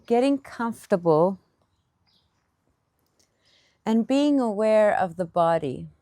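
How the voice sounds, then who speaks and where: A woman leading a guided meditation as a teacher, giving gentle instruction in short, unhurried phrases with pauses between them. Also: mid-range voice, expressive, no room sound, studio quality.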